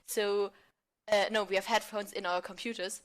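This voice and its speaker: A woman's voice: mid-range voice, level tone, normal speed. A woman speaking as a podcast guest, casual and a little hesitant as she finds her words. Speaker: theatre group member, podcast guest. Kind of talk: casual chat and improvised banter. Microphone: recorded remotely on a phone.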